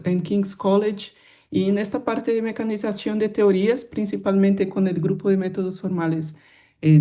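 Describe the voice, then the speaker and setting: A woman opens a colloquium with a formal introduction of the guest speaker. Her voice is mellow and warm, at an ordinary pitch for a woman. She pauses now and then with filler sounds. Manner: slightly halting, with fillers. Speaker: colloquium moderator.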